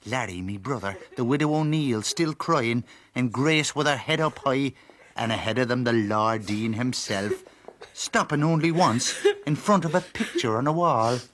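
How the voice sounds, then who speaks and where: An actor playing an Irish country storyteller, narrating a period radio drama in a lyrical, colloquial way. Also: male voice, mid-range voice, lively intonation, normal speed, a bit bright, slight background noise, close to an everyday voice. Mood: vivid, storytelling. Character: Irish peasant storyteller, wry and loyal brother.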